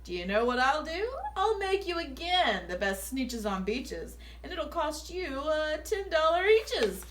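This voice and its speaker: A woman voicing a sly, slick male fix-it salesman from a children's storybook, with a wheedling, drawn-out sales pitch. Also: sing-song, fairly bright tone, heavily acted. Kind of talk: animated children's storybook read-aloud.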